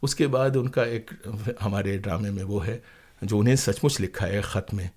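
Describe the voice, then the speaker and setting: A man's voice: on the low side, moderate pace, a little mellow. A man speaking as an interview guest, telling stories conversationally in an emphatic, dramatic way.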